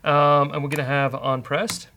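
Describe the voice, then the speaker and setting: A man's voice: a little high, flat delivery, moderate pace, pushed and bright, slight background noise. A man hosting a casual coding show, bantering with a co-host and thinking aloud as he works.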